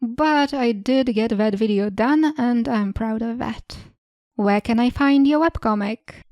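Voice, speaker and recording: A young woman with a Polish accent reads her channel's questions and her own answers in a calm, matter-of-fact way. Her voice sits fairly high but has a soft, mellow tone. The recording is dry and close, with no room sound. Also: a little slow, no echo, lo-fi sound. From Eastern Europe. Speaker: channel host. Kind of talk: scripted Q&A narration.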